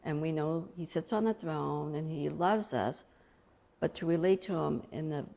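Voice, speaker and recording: A woman's voice: low-pitched, moderate pace, vocal fry, mellow. A middle-aged woman, an author from Colombia, talking in an earnest, reflective way about faith in an interview.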